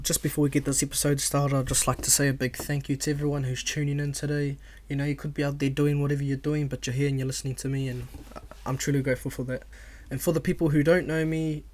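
A young man in his twenties with a New Zealand accent hosts his own podcast. He talks at an easygoing pace in a level, fairly monotone voice. He often pauses and fills the gaps with "um" and "you know". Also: a little high. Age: 20s. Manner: laid-back, self-described introvert, many fillers and restarts. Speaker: podcast host. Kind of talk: casual solo podcast introduction.

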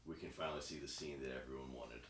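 A man's voice: a bass voice, steady tone, very fast, creaky, a bit bright. A man chatting casually as a podcast co-host. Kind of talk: casual chat. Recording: roomy, echoing, noticeable background noise.

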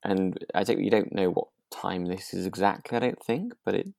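A man's voice: sits low, moderate pace, creaky, a little mellow, no echo, studio quality. A man co-hosting a podcast in a casual style, tentatively thinking aloud as he talks. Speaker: podcast co-host. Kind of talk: relaxed casual discussion of a radio drama.

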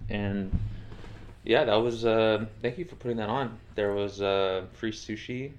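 A man's voice: on the low side, measured pace, slight background noise. A man hosting a casual interview, rambling and chatty, with lots of fillers. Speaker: podcast host, interviewer. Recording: dull lo-fi audio.

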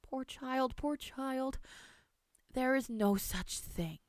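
A woman's voice: mid-range voice, normal speed, clear, resonant tone, no room sound, close to an everyday voice. A woman voicing a concerned, dismissive old grandmother in a storybook tale. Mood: soothing.

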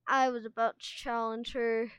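Woman as young female dragon, high clear voice, defiant, theatrical, emphatic, storybook character. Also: level tone, normal speed, bright, forceful tone, no room sound. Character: dramatic young female dragon claiming the throne.

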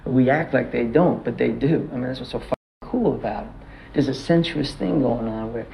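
An actor playing a streetwise gangster in a film, arguing a point in casual, profane banter over some background ambience. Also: male voice, mid-range voice, brisk, gravelly, dull audio, a little hiss or hum, lightly acted. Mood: insistent, casual.

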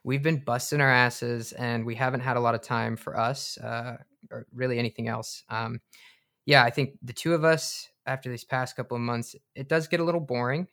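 An earnest, self-important bunker researcher, played by a man in a comedic radio drama. He talks in a hesitant, rambling, conversational way at a middling pitch, taking his doomsday mission entirely seriously. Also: steady tone, moderate pace, a bit bright, lightly acted. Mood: businesslike, earnest. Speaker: co-host of a comedic podcast drama.

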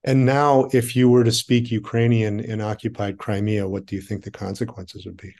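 A male interviewer with a fairly low, warm voice. He asks his questions calmly and sums up what his guest has said before he moves on. He sounds like a plain, conversational host. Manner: measured, restates and clarifies the guest's answers.

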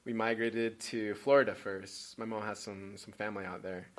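Laid-back young man, low voice. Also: flat delivery, moderate pace. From Peru. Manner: laid-back, rambling with many fillers and restarts. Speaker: podcast guest. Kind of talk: casual chat.

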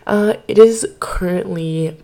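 A woman hosting her podcast in casual chat, slightly hoarse from losing her voice, chatty and rambling with lots of fillers, recorded on her bed at home into a microphone. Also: on the low side, takes it slowly, mellow. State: slightly hoarse, partly lost voice. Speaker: podcast host.

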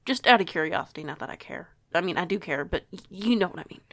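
Woman acting hesitant, curious young woman, creaky voice. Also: fairly low pitch, animated, fast, a bit bright, dry recording, clean studio sound. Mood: flustered, curious. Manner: hesitant, rambling, stammering. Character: curious, flustered young woman new to a wolf pack. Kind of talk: audio roleplay acting, casual dialogue.

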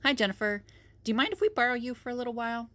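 A woman's voice: fairly high pitch, racing, bright, forceful tone. An actress playing a level-headed, friendly woman prisoner who leads the plans in an audio drama.

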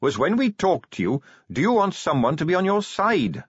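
A man's voice: fairly high pitch, very animated, moderate pace, breathy edge, dry recording, lo-fi sound, voice pushed up. A man voicing an earnest, by-the-book watch captain in a fantasy audiobook, speaking politely, carefully and formally. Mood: patient, puzzled.

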